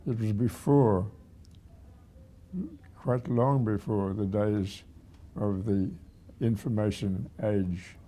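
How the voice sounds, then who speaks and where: A man of about a hundred with a husky, aged voice, reminiscing haltingly as a retired museum director. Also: fairly low pitch, fairly even intonation, dark tone. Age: 100. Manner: halting, with repeated words and pauses.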